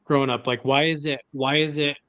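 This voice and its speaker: A man's voice: on the high side, steady tone, brisk, bright, forceful tone. A man from Northern California, laid-back and curious, chatting casually on a business call.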